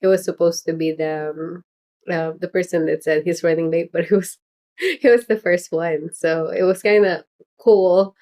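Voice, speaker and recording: Chatty rambling woman, lower pitched. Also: fairly even intonation, normal speed, mellow. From Philippines heritage. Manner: chatty, rambling, frequent fillers and laughs. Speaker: political candidate hosting a livestream. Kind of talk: casual livestream chat.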